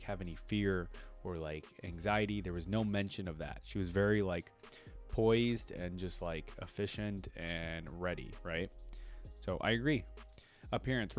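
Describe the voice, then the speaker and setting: A man reading game lore aloud as a reaction creator, breaking off for casual conversational asides. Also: mid-range voice.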